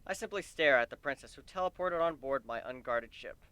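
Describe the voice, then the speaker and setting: Male amateur storyteller, expressive and lively, bright tone, dry sound. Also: medium pitch, brisk, smooth, no room sound. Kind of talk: dramatic reading of fan fiction.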